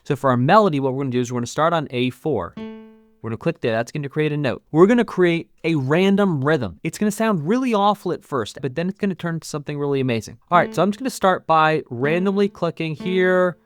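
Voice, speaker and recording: A man teaching as a channel host, casually narrating a step-by-step music software tutorial and talking through each click. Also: fairly high pitch, expressive, fast.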